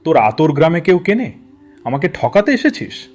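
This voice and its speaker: A man acts out a haughty cartoon village landlord with a fairly high male voice. He is short-tempered and abusive, scolding and threatening in a scornful, mocking manner. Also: very animated, normal speed, darkish tone. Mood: furious. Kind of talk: Bengali cartoon fairy-tale voice acting.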